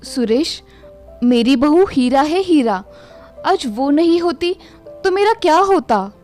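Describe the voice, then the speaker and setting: A woman playing a dramatic, scheming, complaining Indian mother-in-law in an animated family story. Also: high voice, slow pace, soft background music. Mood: remorseful. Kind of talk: animated Hindi moral story dialogue.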